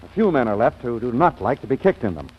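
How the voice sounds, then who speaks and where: A man's voice: mid-range voice, sing-song, fast talker, long reverb tail, a little hiss or hum, lightly acted. An actor playing a suave, dryly sarcastic businessman husband in an old radio comedy, with wry, polished phrasing. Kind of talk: 1940s radio comedy acting. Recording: dull, lo-fi old radio recording.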